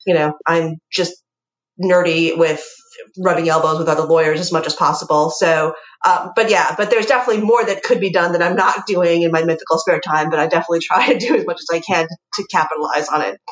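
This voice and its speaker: A woman's voice: on the low side, fairly even intonation, brisk. A woman lawyer, a podcast guest, talking in a chatty, nerdy way with light laughs. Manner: chatty, self-described nerd, laughs lightly. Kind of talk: casual podcast interview. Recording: echoey room.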